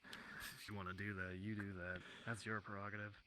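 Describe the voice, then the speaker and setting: A man's voice: fairly low pitch, level tone, brisk, sharp tone. A man talking casually in character during online roleplay, on a noisy voice-chat recording.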